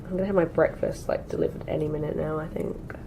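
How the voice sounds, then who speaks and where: A woman's voice: sits low, fairly even intonation, fast talker, warm tone, slight background noise, rough recording. A woman in her twenties from the UK chatting through a makeup routine while getting over a cold, with lots of "like" and "um" fillers. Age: 20s. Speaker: beauty vlogger. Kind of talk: get ready with me chat.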